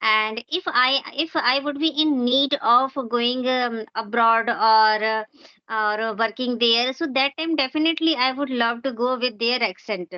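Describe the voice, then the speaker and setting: A woman's voice: on the high side, steady tone, a little slow, dry recording, lo-fi sound. A woman with an Indian accent, an English teacher, answering questions earnestly with frequent hesitations over a video call. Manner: hesitant, many fillers, earnest. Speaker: English teacher and channel host. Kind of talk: conversational practice interview.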